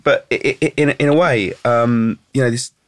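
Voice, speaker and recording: A man's voice: medium pitch, steady tone, normal speed, dry recording. A man, an artist, chatting on a podcast and often stopping to restart his sentences. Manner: hesitant, many fillers and restarts. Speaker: artist and dialogue director. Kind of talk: casual podcast chat.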